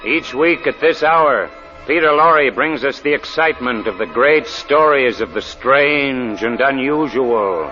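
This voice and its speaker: A man's voice: medium pitch, lively intonation, unhurried. A man reading a formal, dramatic 1940s radio programme introduction. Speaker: radio announcer. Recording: dull old radio recording. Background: music.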